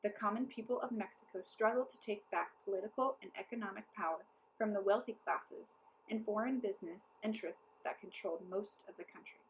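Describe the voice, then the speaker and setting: A woman reading a children's book aloud as narrator, with deliberate, careful enunciation. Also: medium pitch, a little hiss or hum, rough recording. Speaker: storybook reader. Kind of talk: scripted children's story read-aloud.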